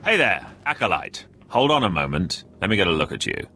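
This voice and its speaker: A man playing a smug, threatening rival acolyte in a video game, husky and condescending. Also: low voice, very animated, fairly quick, bright, forceful tone, slight background noise, heavily acted. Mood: mocking. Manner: condescending, taunting. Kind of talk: dramatic video game dialogue.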